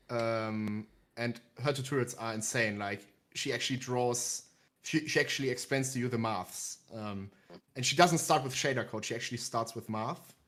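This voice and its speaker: A man's voice: mid-range voice, a bit bright. German-accented man, eager and chatty. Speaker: guest game developer. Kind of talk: casual chat.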